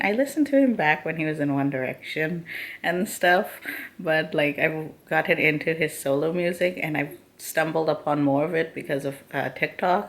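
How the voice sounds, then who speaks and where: A woman's voice: low-pitched, fairly bright tone. A woman talking in a casual, chatty, informal way to her vlog at home. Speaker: vlogger. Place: indoors at home, living room and kitchen. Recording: noisy home camera audio.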